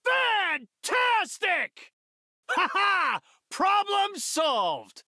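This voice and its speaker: Middle-aged genius hero, bright forceful voice, very animated, earnest, articulate, cerebral, video game delivery. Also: male voice, extremely high pitch, extremely slow, vocal fry, dry recording, studio quality, heavily acted. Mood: triumphant, energetic. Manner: articulate, cerebral, heroic declamation. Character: earnest middle-aged male genius scientist superhero, confident leader.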